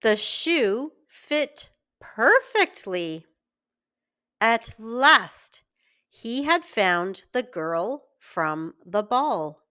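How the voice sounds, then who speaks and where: A woman reading a scripted story aloud for young children in a deliberate, expressive way. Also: a little high. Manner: slow, deliberate, expressive delivery. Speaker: children's story reader and teacher.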